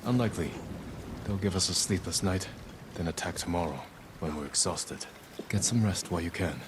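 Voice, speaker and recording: An actor playing a stoic, resolute samurai in a video game, speaking in a measured, grave, commanding way. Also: male voice, low voice, background noise, close to an everyday voice. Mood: grave, steady. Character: stoic, resolute samurai man leading a town's defence. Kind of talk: dramatic game cutscene dialogue. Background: music.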